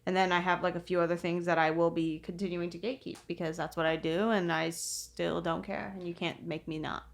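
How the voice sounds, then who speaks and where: A woman's voice: on the low side, flat delivery, fairly quick, gravelly. A young woman co-hosting a podcast in casual comedic banter, blunt and teasing, swearing freely. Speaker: podcast co-host.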